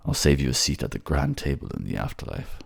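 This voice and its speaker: Man playing a wounded fantasy king, extremely low voice, creaky, gentle, halting, devoted, intimate audio drama. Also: expressive, dry recording, heavily acted. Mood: tender, resigned. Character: gravely wounded king, tender, remorseful, devoted lover.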